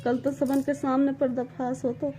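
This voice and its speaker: A woman with a Mewati accent gives short, halting replies in a private phone conversation. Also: high voice, level tone, warm tone. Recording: noisy amateur phone call recording.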